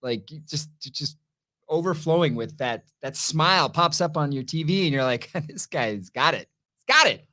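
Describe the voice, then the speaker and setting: A man's voice: a little high, lively intonation, moderate pace, pushed and bright. A man co-hosting a TV review show, telling stories in a casual, playful, emphatic way with exclamations. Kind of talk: casual banter and storytelling.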